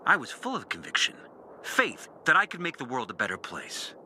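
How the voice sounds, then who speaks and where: An actor playing a bitter, disillusioned former priest in a video game, speaking a brooding, deliberate confessional monologue with dramatic pauses. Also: male voice, mid-range voice, wildly swooping pitch, bright, forceful tone, slight background noise, full character voice. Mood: brooding, reflective. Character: disillusioned former priest, bitter and intense, recounting his lost faith. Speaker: character narrating an audio journal.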